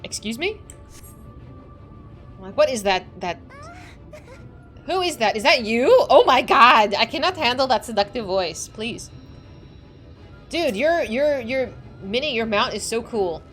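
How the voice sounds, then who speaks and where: A young woman streaming a game, chatting excitedly with a slightly squeaky voice, over faint game music. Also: a little slow, long pauses. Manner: excitable, chatty, laughing often. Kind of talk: casual chat while playing a game.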